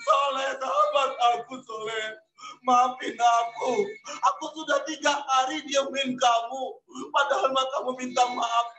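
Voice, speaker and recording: A man's voice: very high voice, expressive, measured pace, heavily acted. A man voicing a remorseful young boy who pleads, sobs and cries out prayers over his dying friend. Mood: sobbing. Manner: pleading, crying out, shouting prayers. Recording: echoey room, faint music.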